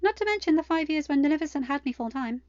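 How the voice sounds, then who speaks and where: A woman's voice: high voice, animated, fast talker, darkish tone, no room sound, highs cut off, voice pushed up. A woman voicing a playful, affectionate teenage daughter in a storybook, teasing and informal. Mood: wistful.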